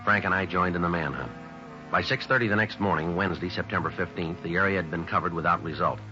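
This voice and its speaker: Deep clipped deadpan police sergeant played by a man. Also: fast talker, close to an everyday voice. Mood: flat, factual. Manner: deadpan, terse. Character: stern, businesslike male police sergeant. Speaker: police sergeant and narrator of a radio police drama. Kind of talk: 1950s radio acting, clipped procedural narration. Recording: dull 1950s radio recording with music.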